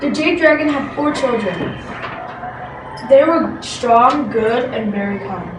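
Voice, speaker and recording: A female amateur performer playing a patient father who tells folk tales in readers theatre, reading a little haltingly. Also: fairly high pitch. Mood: patient, storytelling. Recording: noisy echoey room, distant microphone.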